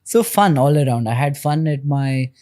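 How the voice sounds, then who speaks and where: A man in his thirties with an Indian accent, a podcast guest explaining things in a measured way with frequent pauses. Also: medium pitch, lively intonation, dark tone, dry recording, studio quality. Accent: Indian English. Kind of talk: podcast interview.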